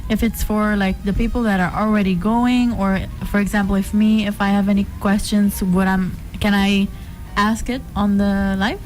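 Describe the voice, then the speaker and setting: A woman's voice: mid-range voice, steady tone, normal speed, darkish tone, faint music behind, rough recording. A woman co-hosting a radio interview, asking questions in a hesitant, conversational way. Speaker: radio co-host.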